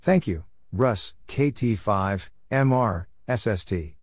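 A man reading out radio call signs and short exchanges letter by letter in clipped, measured phrases, as a scripted announcer. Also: on the low side, animated, background noise. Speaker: Morse code practice announcer.

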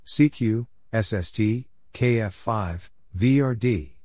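A man's voice: lowish voice, takes it slowly, darkish tone. A man announcing Morse code practice, reading letters, numbers and short phrases in clipped, separated words.

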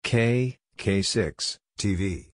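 Male narrator, lowish pitch, very slow pace, measured delivery, letters spelled out separately, practice drill reading. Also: animated, a little hiss or hum. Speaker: Morse code practice narrator.

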